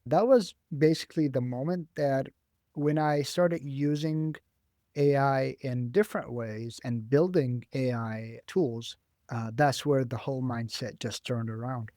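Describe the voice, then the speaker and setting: A young man whose first language is Arabic speaks slowly and deliberately, in a middle-range voice, pausing often on "uh" as he thinks. He is a graduate student guest in a career interview. The sound is dry and close. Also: mid-range voice, dark tone, no room sound. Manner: measured, thoughtful, with frequent "uh" pauses.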